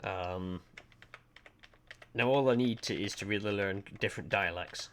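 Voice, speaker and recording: A man's voice: fairly low pitch, unhurried, fairly bright tone, slight background noise. A man from Lancashire with a Lancashire accent, chatting casually and hesitantly to viewers on a livestream, full of ums. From Lancashire, England. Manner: rambling, chatty, self-deprecating, hesitant with frequent ums. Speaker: art livestreamer. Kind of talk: casual chat to stream viewers. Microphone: desk mic, webcam off.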